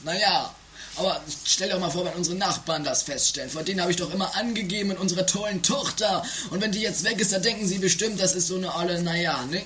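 A man acting a theatrical, authoritarian father who stammers and bursts into shouts in an improvised trash radio comedy. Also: sits high, fast. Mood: evasive. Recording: noisy 1980s home-made radio play recording.

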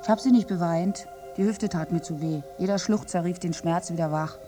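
Actress as a bitter, wounded war survivor. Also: female voice, fairly low pitch, a little fast, mellow, faint music behind, natural voice. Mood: bitter, wry. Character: wounded, bitter village woman among soldiers, survivor of a massacre. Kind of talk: theatrical radio drama monologue.

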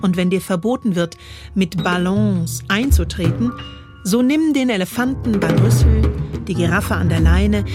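Woman reading poetry over music. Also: medium pitch. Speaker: reader. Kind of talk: literary reading, poetic narration. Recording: music playing behind the voice.

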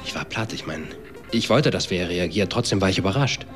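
A man's voice: deep voice, expressive, fairly quick. An actor playing a cocky young man who recounts events in a slangy, clipped, matter-of-fact way in a radio drama. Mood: uneasy recall. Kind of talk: German radio drama acting, confessional narration. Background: music.

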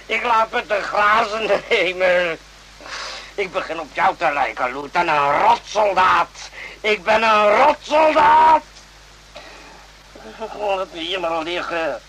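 An actor playing a distraught, embittered military man in a radio play, talking in halting, agitated repetitions. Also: high-pitched, expressive, sharp tone, heavily acted. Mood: scornful, despairing, then bitter, defeated. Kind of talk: Dutch radio play acting. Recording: noisy old radio drama recording.

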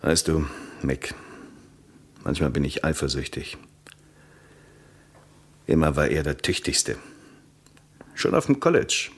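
An actor playing a brooding, envious painter in a 1960s German radio play. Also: male voice, very deep voice, very animated, takes it slowly, pauses often, husky, heavily acted. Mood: wistful envy. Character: brooding, envious young painter, friend of a lawyer.

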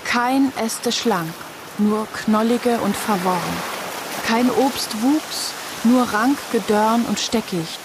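A woman's voice: a little high, slow pace, background noise. An actress playing a headstrong, outspoken woman construction worker in a radio drama. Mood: grave, declaiming.

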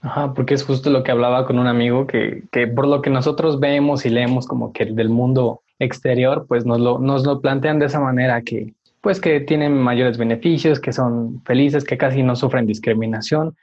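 Husky man hosting, dull lo-fi sound. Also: medium pitch, steady tone, normal speed, hardly any pauses, darkish tone. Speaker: podcast host. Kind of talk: conversational interviewing. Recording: dull, lo-fi audio.

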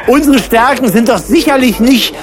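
A man's voice: very high-pitched, moderate pace, shouting. A man doing colloquial, mock-earnest comic banter on the radio, often ending on questions. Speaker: radio talk show host. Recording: radio broadcast with music underneath.

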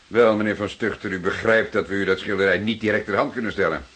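An actor playing a composed, probing police detective in a Dutch radio play, speaking formally and deliberately with courteous insistence. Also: male voice, on the low side, fairly even intonation, a bit bright, husky. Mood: businesslike.

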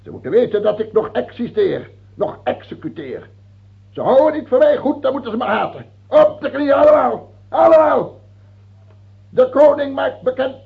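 Husky, capricious tyrant king. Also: very high-pitched, sing-song, normal speed, exaggerated voice. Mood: ranting, domineering. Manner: imperious, taunting, hesitating then outbursting. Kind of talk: theatrical radio drama acting. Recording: muffled, phone-like old radio play recording.

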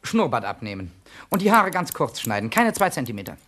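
A man's voice: medium pitch, sing-song, fairly quick, pushed and bright, soft background music. A man acting in a German radio play as a polite, hesitant writer in his twenties who stammers when flustered. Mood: brisk. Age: 20s. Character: hesitant, well-bred 27-year-old writer gentleman, privately tutored.

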